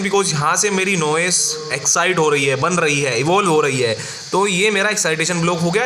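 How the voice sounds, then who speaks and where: High-pitched Indian male tutor, echoey room. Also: pushed and bright. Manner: didactic, often checking in with tag questions. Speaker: engineering tutor. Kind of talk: informal explanatory lecture mixing Hindi and English. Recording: echoey room with faint background noise.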